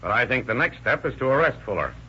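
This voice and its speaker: An actor playing a calm, reassuring county sheriff in a 1930s radio drama. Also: male voice, mid-range voice, fast talker. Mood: determined. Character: steady county sheriff, middle-aged man. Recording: dull old radio recording with noise.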